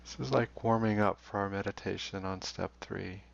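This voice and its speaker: A man leading a guided meditation in a slow, deliberate way, leaving long pauses. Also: low-pitched, fairly even intonation, creaky. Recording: dull, roomy recording.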